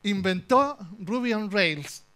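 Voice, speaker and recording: A young Chilean man with a fairly high voice gives a conference talk from the stage. He jokes his way through the story, lively and playful, with a Chilean accent throughout. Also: takes it slowly, clear, resonant tone. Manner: animated, joking storyteller. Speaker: conference speaker. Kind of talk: humorous tech talk.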